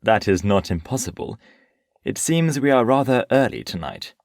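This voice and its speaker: An actor playing a worldly, unruffled Parisian nobleman in an audio play, urbane and gently patronising. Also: mid-range voice, big pitch swings, normal speed, creaky, dry recording, clean studio sound. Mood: relaxed.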